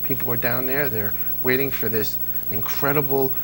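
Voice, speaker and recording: A man's voice: mid-range voice, fairly even intonation, normal speed, noisy background. A middle-aged American man being interviewed as a radio fan, telling stories conversationally and repeating phrases for emphasis. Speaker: interview guest, old-time radio fan. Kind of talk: animated conversational storytelling.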